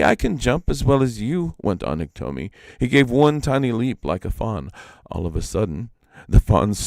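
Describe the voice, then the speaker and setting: A man reading a story aloud live as an expressive storyteller. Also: sits low, gravelly, dry recording. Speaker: storyteller, reader.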